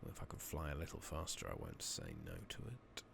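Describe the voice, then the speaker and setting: A man giving soft-spoken, partly whispered ASMR commentary on a game, muttering and thinking out loud, recorded close on a makeshift mic. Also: a bass voice, very animated, a little fast, vocal fry. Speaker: game streamer narrating a playthrough. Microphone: close makeshift microphone. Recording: noisy makeshift mic with clicking.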